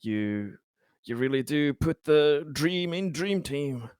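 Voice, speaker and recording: A man voicing a pompous, sarcastic leader of a space expedition in a tabletop game, with grandiose, halting delivery. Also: on the high side, lively intonation, a little mellow, clean tone, dry recording, studio quality. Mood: grand praise.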